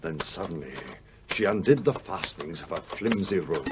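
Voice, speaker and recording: An actor playing the irascible, roguish ghost of a sea captain in a radio play, blustering and commanding. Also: male voice, sits low, expressive, moderate pace, noisy background. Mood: roguish storytelling. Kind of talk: British radio play acting.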